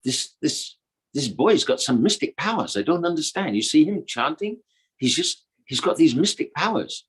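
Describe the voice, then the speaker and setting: A man's voice: a little high, very animated, normal speed, breathy edge, voice pushed up. A man voicing a playful young divine trickster who imitates his beloved's female voice, coy and mock-amazed.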